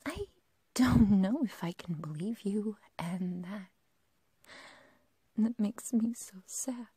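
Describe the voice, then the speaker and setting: Breathy whispering obsessive yandere girl acted by a woman. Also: medium pitch, animated, mellow, no room sound, full character voice. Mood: hurt, possessive. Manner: slow, intimate, halting, possessive. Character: obsessive, lovestruck yandere girl kidnapper, sweet turning menacing. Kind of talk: breathy whispered ASMR roleplay.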